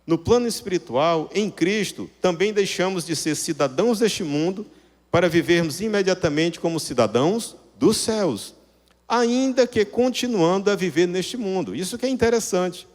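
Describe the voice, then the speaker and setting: Northeast guy preaching, kinda high voice, explains stuff step by step, lots of hall reverb. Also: animated, moderate pace, darkish tone. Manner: didactic, rephrasing points with rhetorical questions. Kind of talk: sermon to a congregation.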